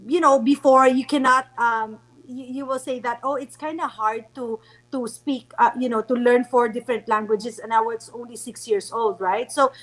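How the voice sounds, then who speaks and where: A woman's voice: on the high side, fairly even intonation, normal speed, fairly bright tone, low-quality recording. A woman from the Philippines, hosting a casual interview in an effusive, affirming way. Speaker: interviewer, host.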